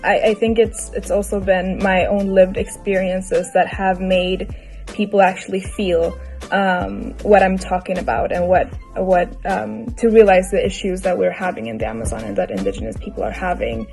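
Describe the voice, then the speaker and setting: Gravelly-voiced woman from Ecuador. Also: mid-range voice, moderate pace, darkish tone, faint music behind. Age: young adult. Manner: hesitant, many restarts.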